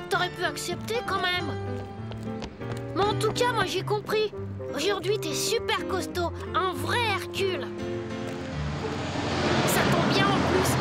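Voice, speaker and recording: Very high-pitched cheeky cartoon boy. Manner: teasing, goading. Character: cheeky, eager schoolboy sidekick who stirs up trouble. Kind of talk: French animated series dubbing.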